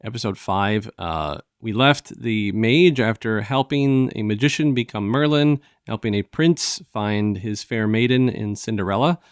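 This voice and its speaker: Low-voiced man chatting on a dry recording. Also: smooth, no echo. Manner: halting, conversational, acts out snatches of dialogue. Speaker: host of a review show. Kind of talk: casual discussion and retelling of an audio drama.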